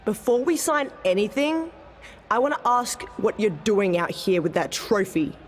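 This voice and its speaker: A woman's voice: medium pitch, normal speed, a little hiss or hum, close to an everyday voice. An actress playing a brash, menacing female wrestling rival, confrontational and contemptuous, in a video game cutscene.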